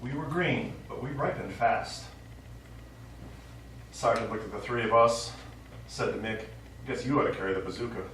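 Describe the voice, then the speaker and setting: A man's voice: medium pitch, moderate pace, pauses often, a bit bright, natural voice. An actor on stage playing a forgetful, nostalgic elderly father and Army veteran who rambles wistfully through his stories. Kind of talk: live one-act stage play acting. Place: small theatre stage. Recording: very echoey theatre, distant mic, faint noise.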